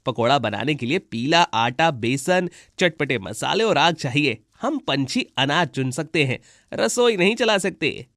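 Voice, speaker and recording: A man's voice: a little high, lively intonation, unhurried, hardly any pauses, fairly bright tone, dry recording, studio quality. A man voicing a sceptical but brave crow in a children's cartoon. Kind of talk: Hindi children's cartoon voice acting.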